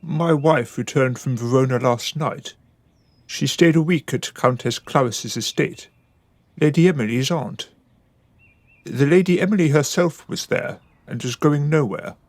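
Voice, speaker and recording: A man's voice: mid-range voice, a little slow, no echo, close to an everyday voice. An actor playing a worried but firm noble father in a period radio drama, speaking in stiff, old-fashioned phrases. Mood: puzzled, insistent.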